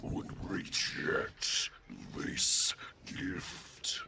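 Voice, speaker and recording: A man voicing an insidious, gloating fantasy game villain in a gravelly, whispery, drawn-out growl. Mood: menacing, gloating. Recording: loud music under the voice.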